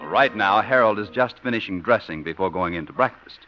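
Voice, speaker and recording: A man's voice: fairly low pitch, brisk. A man announcing and narrating a scripted 1950s radio comedy. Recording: muffled vintage radio recording over music.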